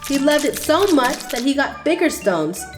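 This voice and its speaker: A young adult woman hosting a children's channel, reading a picture book aloud in an expressive, sing-song way. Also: high-pitched, normal speed, over music. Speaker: children's story reader. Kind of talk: read-aloud storytelling for children.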